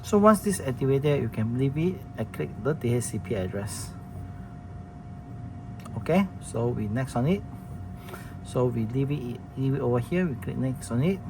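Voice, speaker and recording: Male demonstrator, mid pitch, casual and halting how-to delivery, noisy room recording. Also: expressive, gravelly. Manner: halting, plain wording with many restarts and fillers. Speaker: product demonstrator. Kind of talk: casual product demo walkthrough.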